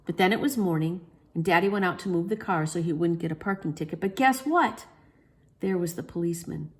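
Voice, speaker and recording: A woman's voice: on the low side, brisk. A woman storyteller reading a children's storybook expressively.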